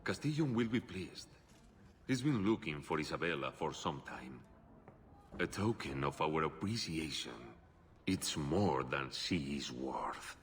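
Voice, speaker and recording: A man's voice: extremely low pitch, big pitch swings, measured pace, slight background noise, full character voice. An actor playing a menacing, lecherous criminal henchman in a video game, with a domineering, leering manner. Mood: smug, leering.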